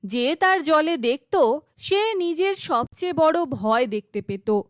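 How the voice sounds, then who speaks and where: Casting: female storybook narrator, high pitch, slow scripted fairytale delivery. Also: lively intonation, no room sound, clean studio sound.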